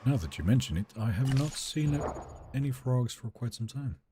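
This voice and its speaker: A man with a Swedish accent, live-streaming a game and chatting with viewers in a relaxed, talkative way. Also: mid-range voice, normal speed, noisy background. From Sweden. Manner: relaxed, chatty, answers chat questions.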